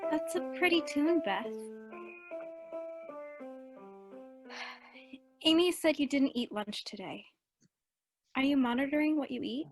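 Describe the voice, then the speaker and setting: A woman's voice: high-pitched, slow pace, pauses often, over music. A woman playing a caring teenage older sister in a radio play, reassuring and matter-of-fact. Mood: concerned.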